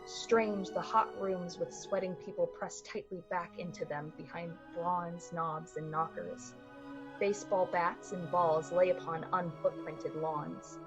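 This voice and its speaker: A woman's voice: fairly low pitch, steady tone, a little slow, close to an everyday voice. A woman narrating a suspenseful story in the manner of a 1940s radio play. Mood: measured suspense. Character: literary storyteller of a suspenseful summer-night tale. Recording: slightly muffled, music underneath. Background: music.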